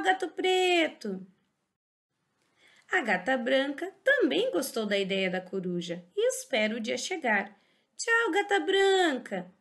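A Brazilian woman teacher reads a children's picture book aloud in a sing-song, exaggerated way. Her voice sits high and swoops widely. She takes her time over every line. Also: a bit bright. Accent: Brazilian Portuguese. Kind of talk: animated storybook reading for young children.

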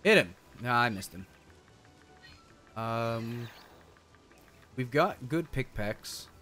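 A man streams a video game with lively, swinging intonation. He talks casually and thinks aloud in chatty slang. Music plays behind his voice. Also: medium pitch, takes it slowly. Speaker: streamer, show host.

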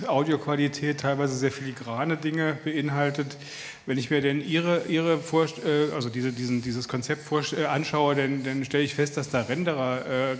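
A man putting a formal, spontaneous question from the audience, with measured wording and stammered restarts. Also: medium pitch. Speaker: audience member asking a question. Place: large hall. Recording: echoey hall with background noise.

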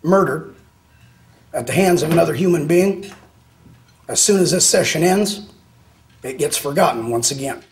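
A man with a husky, slightly high voice talks in measured, deliberate phrases. He pauses often between thoughts, as in an interview soundbite for a news report. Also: poor audio.

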